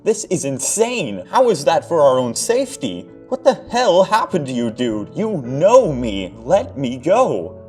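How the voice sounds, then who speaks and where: A man's voice: sing-song, moderate pace, hardly any pauses, faint music behind, lightly acted. A man doing a frantic, panicked young guy. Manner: shouting, pleading, voice cracking high. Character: panicked young male student, frantic and desperate. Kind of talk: audiobook-style story reading.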